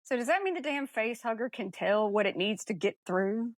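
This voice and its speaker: A woman's voice: a little high, lively intonation, normal speed, no echo, clean studio sound. A woman co-hosting a podcast, talking casually about film in a blunt way with some mild swearing. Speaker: podcast co-host.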